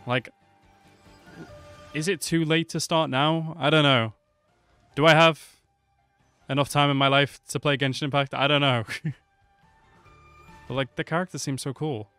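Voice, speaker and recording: A man's voice: fairly high pitch, fairly even intonation, unhurried, pauses often, sharp tone, clean tone, dry recording. Casual young man reacting, music behind. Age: 20s. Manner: slangy, laid-back, swearing. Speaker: reaction video host. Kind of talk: casual live reaction commentary. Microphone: close desk microphone with headset. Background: game trailer audio with music.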